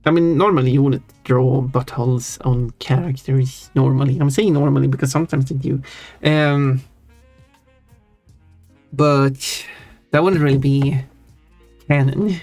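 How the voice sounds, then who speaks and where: Streamer, relaxed unhurried delivery, self-correcting chatter, faint music bed. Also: mid-range voice, no room sound. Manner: rambling, self-correcting, giggly. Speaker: live streamer. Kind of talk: casual live-stream chat while drawing. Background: faint background music.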